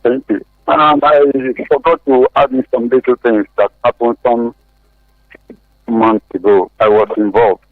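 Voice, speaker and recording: A man calling a radio show by phone, recounting things in a halting way and repeating phrases. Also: medium pitch, fairly even intonation, dry recording. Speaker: phone-in caller. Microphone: phone call. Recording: muffled dry radio phone line.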